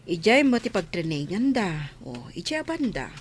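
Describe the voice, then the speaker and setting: A woman's voice: on the low side, sing-song, normal speed, slight background noise. A woman with a northern Philippine accent playing a kindly, consoling religious sister in a radio drama. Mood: helpful. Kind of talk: village radio drama acting.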